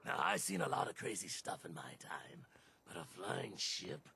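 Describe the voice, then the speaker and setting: A man's voice: sits low, huge pitch swings, moderate pace, creaky, bright, forceful tone, in a whisper, heavily acted. An actor voicing a skeptical, folksy townsman in a video game. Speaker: game character.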